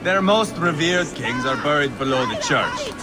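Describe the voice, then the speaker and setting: A man voices a crude, boastful Viking warlord with a lively, swinging delivery full of mockery. Clear music plays under the game audio. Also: on the high side, moderate pace, close to an everyday voice. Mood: mocking. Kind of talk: video game cutscene acting.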